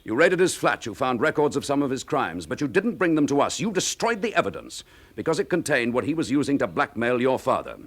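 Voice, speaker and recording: An actor with a British accent playing a stern, weary, dutiful chief inspector in a radio drama, clipped and authoritative. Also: male voice, medium pitch, fairly bright tone.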